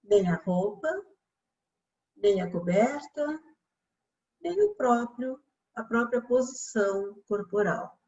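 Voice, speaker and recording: A Brazilian woman guiding a relaxation meditation as a yoga instructor, speaking calmly and soothingly with long pauses. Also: fairly high pitch, highs cut off.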